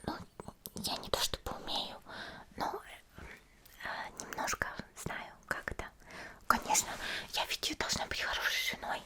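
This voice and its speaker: A woman playing a cheerful, caring young female demon slayer in a soft, chatty whispered ASMR roleplay. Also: a little slow, long pauses, close to an everyday voice. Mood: helpful, caring. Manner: gentle, chatty, hesitant little murmurs.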